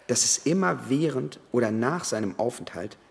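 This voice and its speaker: An actor playing a calm, analytical investigator who explains things in a deliberate, measured way in a radio drama. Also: male voice, medium pitch, vocal fry. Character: calm, analytical male investigator confiding his findings. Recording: reverberant space, faint background noise.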